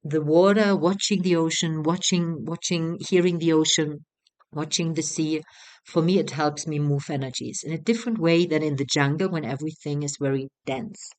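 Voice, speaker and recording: A woman in her thirties with a German accent, a retreat facilitator talking casually, halting and repeating words as she goes. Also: deep voice, darkish tone. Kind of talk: casual spoken promotional talk.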